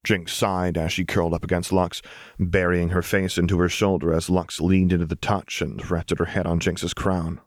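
Male narrator, low pitch, scripted story reading, dry room-free sound. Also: level tone, moderate pace, no echo. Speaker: audiobook narrator.

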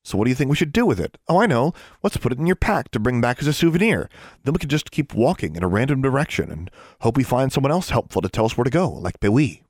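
A man's voice: fairly high pitch, animated, fairly quick, hardly any pauses, a little mellow, no room sound, clean studio sound, pitched above the performer's own voice. A man voicing a headstrong, sarcastic young girl witch with a defiant, emphatic manner in an audiobook.

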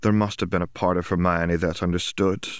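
A man's voice: sits low, level tone, fairly quick, smooth, no echo, clean studio sound. A man reading scripted literary fiction as an audiobook narrator.

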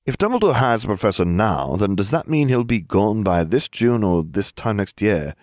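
A man's voice: lowish voice, big pitch swings, moderate pace, a little mellow, dry recording, studio quality, voice pushed up. A man voicing an earnest, worried teenage boy wizard.

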